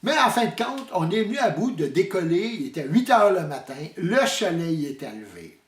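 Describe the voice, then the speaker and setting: A man's voice: high-pitched, big pitch swings, normal speed. A man with a Quebec French accent telling a comic hunting story in a folksy, hesitant way. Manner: folksy, hesitant, mixes up sayings. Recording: roomy echo, distant mic.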